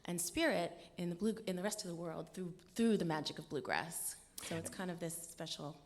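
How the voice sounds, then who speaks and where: A woman with a slightly low voice speaks in a hall with a long reverb. She is a musician guesting on a live show, talking informally. Her delivery is earnest and expressive, with casual filler phrases threaded through it. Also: brisk. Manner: earnest, expressive, conversational with frequent "you know". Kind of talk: informal interview talk. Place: stage of a live radio show.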